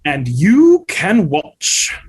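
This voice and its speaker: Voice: medium pitch, wildly swooping pitch, extremely slow, slight background noise, exaggerated voice. An actor reading a campy, arch young male dancer in a table read, with a languid, theatrical delivery.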